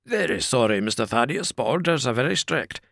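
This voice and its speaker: A man doing a gruff burly ex-boxer doorkeeper. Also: medium pitch, animated, a little fast, a bit bright, dry recording, studio quality, voice pushed up. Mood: hearty. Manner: gruff and blunt, rough plain speech.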